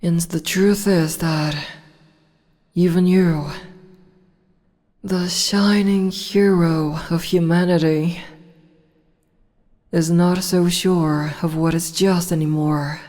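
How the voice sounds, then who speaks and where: A woman playing a haughty demon empress in an audio roleplay, unhurried and condescending. Her tone is low and mellow, and a long reverb trails after each line. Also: fairly even intonation. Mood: teasing. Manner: condescending, slow and teasing. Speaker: voice actress.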